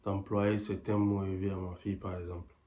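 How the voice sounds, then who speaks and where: A man from Togo, answering calmly and briefly in a casual couple chat. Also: sits low, brisk. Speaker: vlogger's partner.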